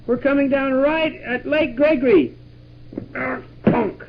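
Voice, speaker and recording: A man playing an excitable astronaut commander in an improvised pretend radio play, exclaiming and giving urgent orders. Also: extremely high pitch, measured pace, creaky, exaggerated voice. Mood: excited. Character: excitable male astronaut commander leading a moon trip. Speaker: father playing with his children. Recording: muffled, very noisy 1960s home tape.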